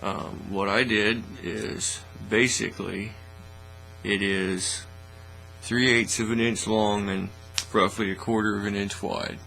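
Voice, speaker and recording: Gravelly, low-voiced man giving a tutorial. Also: steady tone, sharp tone, low-quality recording. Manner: folksy, unhurried step-by-step talk. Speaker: hobby craft tutorial host. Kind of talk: casual how-to demonstration. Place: indoor hobby workbench. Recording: faint mains hum and noise.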